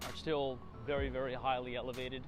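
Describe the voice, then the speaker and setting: A man's voice: medium pitch, little pitch movement, fairly quick, loud music behind the voice. A man co-hosting a livestream, chatting casually and making his points with rhetorical questions. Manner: chatty, rhetorical, talks over the other man.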